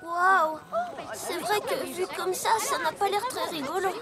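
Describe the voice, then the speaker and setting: Little filly: innocent, eager, childlike, extremely high voice, animated series dub. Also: female voice, a little slow, a loud crowd. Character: eager, curious little filly, young granddaughter. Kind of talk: French cartoon dubbing. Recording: loud music behind dialogue.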